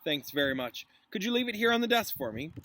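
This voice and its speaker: Storybook professor: male reader as a mild, courteous wizard, bright and slightly raised voice, faint noise. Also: sits high, very animated, pitched above the performer's own voice, full character voice. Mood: pleasant, welcoming. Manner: polite, genial, unhurried.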